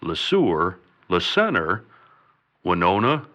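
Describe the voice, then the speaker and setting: Deep, halting state governor. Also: male voice, huge pitch swings, pushed and bright, exaggerated voice. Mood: halting officialdom. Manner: halting, official, list-like delivery. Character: halting, bumbling state governor making an emergency announcement. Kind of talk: comedic radio drama acting.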